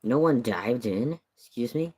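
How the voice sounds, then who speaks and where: A male streamer reacts live to a news clip in his usual pitch. He sounds incredulous and answers the footage back with sharp questions, as if the reporters could hear him. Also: lively intonation, unhurried, breathy edge.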